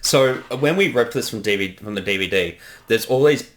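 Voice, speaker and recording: A man's voice: lowish voice, animated, fairly quick. A man giving a casual director's commentary, enthusiastic and sweary, often hesitating as he talks. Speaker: host and director of a fan parody series.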